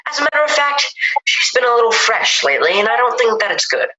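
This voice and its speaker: Caring yet firm husband, woman in the role, lively pitch, bright edge, homemade audio drama, dullish recording. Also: fast talker, dull audio, slight background noise. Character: concerned, firm husband calling from the office. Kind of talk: amateur audio drama acting.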